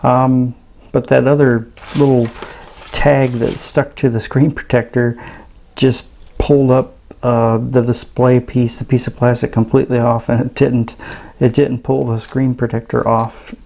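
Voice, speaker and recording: A man giving a casual product review in a halting, understated way. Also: mid-range voice, level tone, creaky, a little mellow. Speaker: radio reviewer. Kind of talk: casual unboxing review narration.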